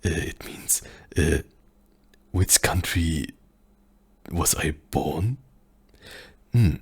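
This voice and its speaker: A soft-spoken man with a German accent answers questions in a hushed, half-whispered voice. His voice is very low and gravelly, and his breathy tone is far from clear. He talks slowly, with many pauses and searches for words. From German-speaking country. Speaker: ASMR channel host.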